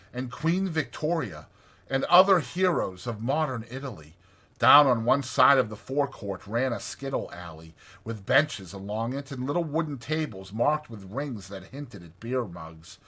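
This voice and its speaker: A man reads a classic tale as an audiobook narrator. His mid-range voice has a bright, forceful tone suited to scripted storytelling. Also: mid-range voice, husky.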